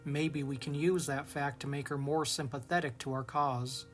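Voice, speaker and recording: A man playing an earnest young dragon strategist in an audio drama, reasoning things out in measured phrases. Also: medium pitch, steady tone, soft background music, natural voice. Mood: thoughtful, eager.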